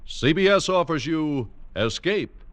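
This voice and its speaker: Higher-voiced male vintage network announcer. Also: expressive, unhurried, loud music behind the voice. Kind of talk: formal old-time radio announcing. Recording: noisy vintage radio broadcast.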